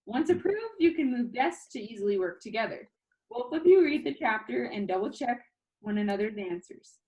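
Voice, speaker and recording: A woman reads the part of a female schoolteacher in a storybook. She is firm and instructive, with a fairly high voice and lively intonation. She speaks briskly to her class. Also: low-quality recording.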